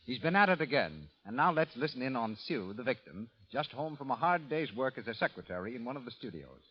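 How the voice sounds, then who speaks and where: A man's voice: medium pitch, sing-song, brisk. An American man reading a scripted commercial as a 1930s radio announcer. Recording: muffled, noisy old radio recording.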